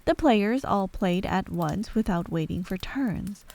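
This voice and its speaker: A woman's voice: mid-range voice, animated, unhurried, a little mellow. A woman storyteller reading a scripted bedtime story in an ASMR narration style.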